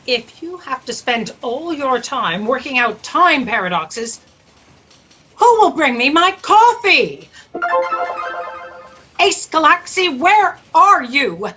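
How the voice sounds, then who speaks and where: A woman's voice: sits high, sing-song, pushed and bright, soft background music, full character voice. A woman playing an imperious, self-absorbed female boss in a haughty, dramatic way for a comic sci-fi audio drama. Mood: exasperated. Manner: haughty, dramatic, drawn-out delivery.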